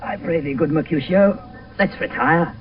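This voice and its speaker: A man's voice: on the high side, moderate pace, slight background noise, natural voice. A man playing a character in a Shakespeare tragedy on radio, speaking verse in a declamatory, poetic way. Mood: earnest. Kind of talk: 1970s radio Shakespeare acting. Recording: muffled phone-like vintage radio broadcast, distant.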